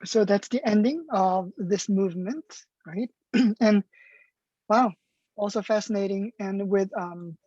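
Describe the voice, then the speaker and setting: A woman's voice: mid-range voice, unhurried, warm tone, dry recording. Woman moderator, enthusiastic questions, muffled dry sound. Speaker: symposium host, interviewer. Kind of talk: moderating an online talk.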